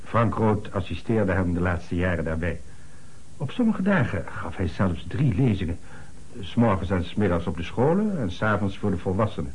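An actor playing an earnest, formal middle-aged notary in a radio play, speaking in a measured way with dramatic emphasis. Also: male voice, sits low, sing-song, a little mellow, slight background noise, low-quality recording. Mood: explanatory.

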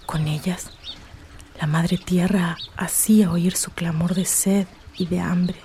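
Slow woman narrating poetically. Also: lowish voice, steady tone, warm tone, a little hiss or hum.

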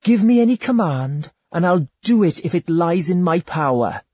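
Man doing a scheming young woman, high theatrical pleading, husky breathy tone, dry close recording. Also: animated, moderate pace, a little mellow, dry recording. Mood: coaxing.

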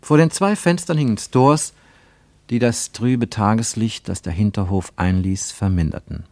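A man narrates a literary radio drama in a lowish voice. He phrases with care and emphasis, enunciating deliberately. The sound is very dry, with no room around the voice. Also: a little mellow, no room sound.

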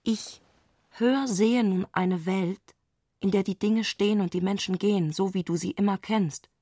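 An actress playing an eccentric, excitable woman speaking an intimate letter in intense, abrupt short sentences, in a literary radio play. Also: mid-range voice, measured pace, dry recording, clean studio sound, lightly acted. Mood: agitated wonder.

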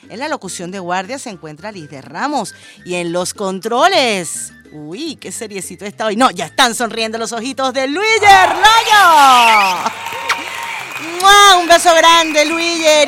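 A high, bright-voiced woman with a Venezuelan accent opens a morning radio show, affectionate and bubbly as she greets listeners and crew. She speaks from a radio studio with a little music playing softly behind her. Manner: bubbly and affectionate, blowing kisses. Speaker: radio host.

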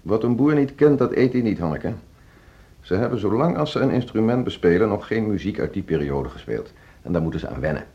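Ambitious conductor, dismissive and stubborn, animated intonation, radio drama, dull muffled recording. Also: male voice, fairly low pitch, vocal fry. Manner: brusque, self-assured, dismissive. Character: ambitious, stubborn male music school orchestra conductor. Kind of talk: naturalistic radio drama acting.

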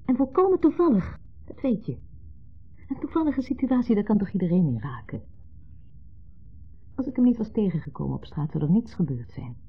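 Reassuring wife, mildly exasperated, expressive mid-pitched husky voice, matter-of-fact, 1970s radio play. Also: female voice, brisk, long pauses. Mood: soothing, insistent. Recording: muffled narrow-band 1970s radio recording.

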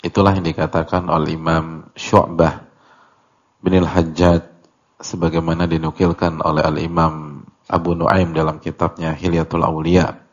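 A man giving an Islamic religious lecture as a teacher, speaking deliberately in short phrases with pauses, on a muffled recording. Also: a bass voice, flat delivery. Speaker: Islamic teacher leading a religious study class. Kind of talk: religious lecture explaining a classical text.

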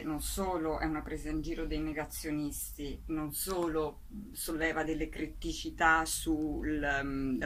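A woman's voice: sits low, fairly bright tone, a little hiss or hum. A woman from a theatre company talking casually about a show as a guest in a radio interview.

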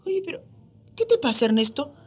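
A woman's voice: sits high, very animated, fairly quick. A woman co-hosting a radio programme, expressively addressing listeners in a scripted conversational style. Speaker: radio co-host.

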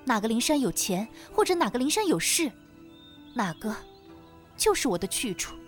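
High, lilting young gentlewoman played by a woman. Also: very animated, normal speed, faint music behind. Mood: earnest. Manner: probing, formal, knowing. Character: poised, perceptive, teasing young gentlewoman. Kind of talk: audio drama acting.

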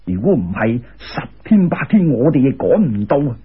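A man playing a lofty storybook immortal, deep shifted-down voice, husky, soothing, unhurried, lo-fi radio sound. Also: sing-song. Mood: amused, reassuring. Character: calm, amused, commanding male immortal guide.

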